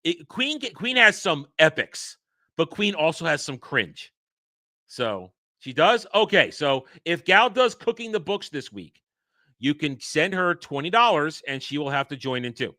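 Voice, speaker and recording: A man hosting a casual livestream, rambling and excitable, restarting his sentences often. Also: a little high, measured pace, no room sound, studio quality. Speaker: livestream host.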